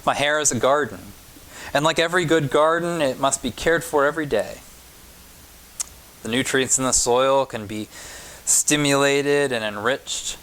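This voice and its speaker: A man, a pastor, reading a storybook aloud to children deliberately, pausing between phrases. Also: medium pitch, a bit bright, rough recording. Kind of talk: storybook read-aloud with informal remarks to children.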